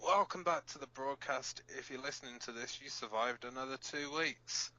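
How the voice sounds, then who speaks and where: A man's voice: mid-range voice, normal speed, sharp tone, muffled recording, a little hiss or hum, close to an everyday voice. A man playing a blunt, cynical resistance fighter who co-hosts an underground broadcast in an audio drama. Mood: sardonic. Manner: blunt, sardonic. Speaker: radio show co-host.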